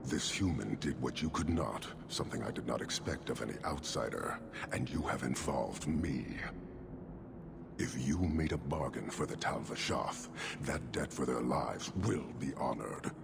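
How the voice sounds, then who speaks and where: A very low, gravel-edged voice for a horned giant warlord, over loud ambient noise. He is severe and unyielding, with measured words. It suits a fantasy game's imposing commander. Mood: cold, stern.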